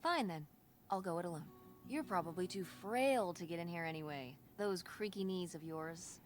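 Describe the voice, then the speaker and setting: A woman voicing a curious, teasing teenage daughter in a naturalistic, expressive video game performance. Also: fairly low pitch, moderate pace, soft background music, natural voice. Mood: cheeky. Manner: playful, cheeky, expressive.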